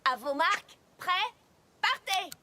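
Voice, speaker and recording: A woman's voice: extremely high pitch, very animated, takes it slowly, heavy background noise, heavily acted. A woman playing a sensible, puzzled young pony mare who referees in a cartoon dub, orderly and questioning. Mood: officiating. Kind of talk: animated cartoon dub acting.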